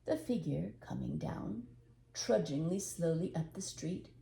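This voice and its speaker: A woman's voice: lowish voice, expressive, measured pace, creaky, warm tone, reverberant, a little hiss or hum. An older woman narrating a storybook tale expressively as a storyteller.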